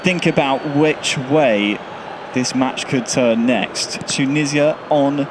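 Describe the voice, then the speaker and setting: A man's voice: mid-range voice, takes it slowly, background noise. A man commentating live on an esports match as host, speaking into a headset. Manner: builds to excited calls. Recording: music playing behind.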